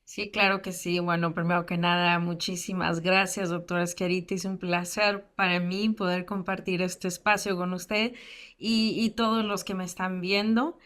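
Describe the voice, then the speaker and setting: A woman's voice: fairly low pitch, level tone, moderate pace, fairly bright tone. A woman in her thirties, raised in the United States, telling her life story as a guest speaker in a candid, self-deprecating way with many fillers. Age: 30s. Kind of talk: personal testimony in a lecture.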